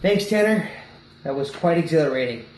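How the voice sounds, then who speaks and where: A man hosting a web news show, handing off to segments with an animated, slightly hesitant delivery and plenty of fillers. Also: a little high, fairly quick. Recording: roomy echo, faint ambient noise.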